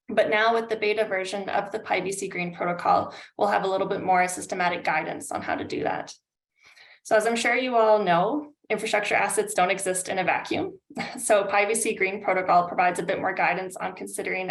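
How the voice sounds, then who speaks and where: Canadian woman in her 30s explaining slides on a webinar, bit of vocal fry, roomy video call sound. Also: mid-range voice. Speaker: consultant presenting a webinar. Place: indoor room on a video call.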